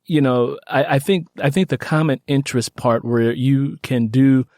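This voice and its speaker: Male podcast host, medium pitch, dry sound, conversational and somewhat rambling. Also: normal speed, a little mellow, no room sound. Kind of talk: conversational interview.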